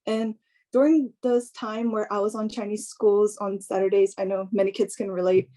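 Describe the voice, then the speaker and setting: A young woman giving an informal personal talk in a hesitant, halting way, often filling in with "like". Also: medium pitch, little pitch movement, mellow. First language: English. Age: young adult.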